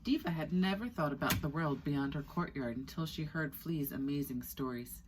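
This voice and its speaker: A woman with a strikingly low voice reads a children's story aloud. Her delivery is expressive and deliberate, paced for young listeners. A faint noise sits behind her in the room. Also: poor audio. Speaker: storyteller reading a children's book. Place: small indoor room with skylight.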